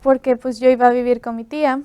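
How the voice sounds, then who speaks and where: Nineteen-year-old Ecuadorian woman guest, smooth flat voice, soft, lots of fillers. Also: a little high, quick pace, dry recording. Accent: Ecuadorian Spanish. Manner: soft-spoken, many "am" fillers. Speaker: student guest. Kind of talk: interview.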